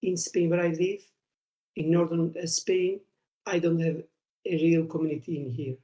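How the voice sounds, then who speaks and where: A man's voice: a little high, fairly even intonation, mellow. Hesitant man. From southeast Turkey. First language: Kurdish. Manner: halting, many pauses and fillers. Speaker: podcast guest. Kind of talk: casual conversation. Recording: echoey room.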